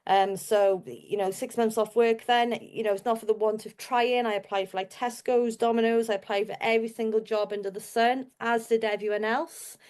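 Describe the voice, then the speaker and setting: A woman's voice: on the high side, steady tone, moderate pace, hardly any pauses, a little mellow, smooth. Chatty Welsh woman telling her story. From Wales. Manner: chatty, rambling, repeats herself. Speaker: podcast guest, business owner. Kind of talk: personal storytelling in an interview.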